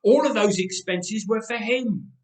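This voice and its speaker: A man's voice: high-pitched, unhurried, far from the mic, voice pushed up. A man playing a weary uncle in a story, defensive and given to sighing and muttering. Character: weary, evasive uncle, reluctant disciple in debt. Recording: roomy, echoing space.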